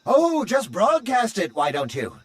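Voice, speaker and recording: An actor voicing a fussy, excitable, talkative droid in a video game, prim and formal in his speech. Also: sits high, measured pace, husky, full character voice. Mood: exasperated. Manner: prim, exclamatory, formal diction.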